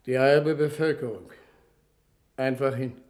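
Actor as a weary old president, echoing room. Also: male voice, medium pitch, fairly even intonation, unhurried, darkish tone, close to an everyday voice. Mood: grim, resigned. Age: older. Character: weary elderly president in a war bunker. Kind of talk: German radio drama acting. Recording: roomy echoing radio play sound.

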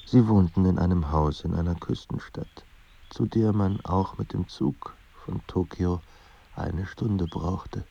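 Casting: somber radio-play narrator, introspective and measured, dark low voice. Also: male voice, gravelly, slightly rough, exaggerated voice. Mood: somber, reflective. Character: somber male literary narrator of a troubled writer's life. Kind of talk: scripted literary narration in a German radio play. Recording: dull sound with faint background noise.

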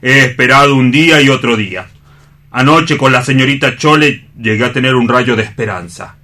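An actor playing an earnest, disappointed assistant in a radio drama, deferential and comically solemn. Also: male voice, medium pitch, moderate pace, pushed and bright, lightly acted. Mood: disillusioned. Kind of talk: dramatic staged radio reading.

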